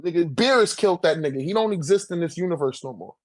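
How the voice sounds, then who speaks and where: A man's voice: on the high side, animated, quick pace. A man arguing casually and heatedly, with emphatic, repetitive, profane slang. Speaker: co-host debating anime character rankings.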